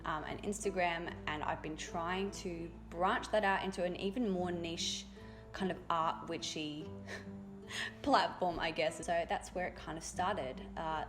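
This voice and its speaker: A young woman art youtuber talking casually over her drawing, raspy from a cold and rambling with fillers. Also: low-pitched. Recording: voice-over with loud music behind.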